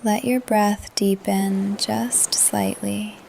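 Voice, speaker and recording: A woman leading a guided meditation in a soft, calm, breathy voice that often trails into a whisper, with gentle pauses. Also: medium pitch, slight background noise. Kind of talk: guided meditation, yoga nidra narration. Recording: ocean surf under the voice. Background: ocean waves ambience.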